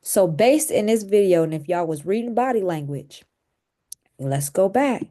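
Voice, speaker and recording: A woman's voice: mid-range voice, expressive, normal speed, dark tone. A woman hosting a commentary show, explaining celebrity drama in a conversational way with asides. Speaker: host.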